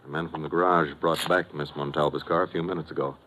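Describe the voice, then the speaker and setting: A man's voice: very deep voice, steady tone, fast talker, pushed and bright, a little hiss or hum. An actor playing a plain-spoken, dutiful male assistant in a 1950s radio detective drama. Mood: matter-of-fact.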